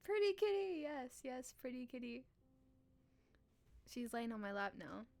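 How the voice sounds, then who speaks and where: A woman streamer, playful and expressive, reading a visual novel aloud and chatting on a live stream. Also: sits high, a little slow. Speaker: game streamer. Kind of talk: live stream chat and read-aloud narration.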